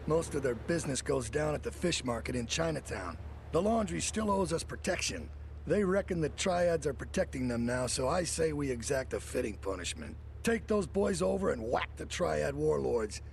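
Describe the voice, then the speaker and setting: Gravelly, high-pitched hot-headed mobster. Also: male voice, very animated, normal speed, a little hiss or hum. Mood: angry, vengeful. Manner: blunt, swaggering. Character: hot-headed male mafia capo, tough and vengeful. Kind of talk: video game cutscene acting.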